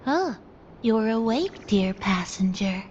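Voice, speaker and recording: A woman voicing a gentle, attentive young woman in a video game, speaking softly and politely. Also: mid-range voice, animated, takes it slowly, highs cut off, a little hiss or hum. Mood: gentle, reassuring.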